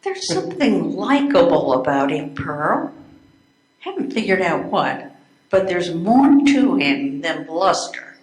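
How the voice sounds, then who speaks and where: A woman's voice: lowish voice, sing-song, takes it slowly. An older woman playing a kindly, peacemaking wife in an amateur readers theater performance. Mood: friendly. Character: kindly, peacemaking married woman with a homely nickname. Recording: echoey room recording.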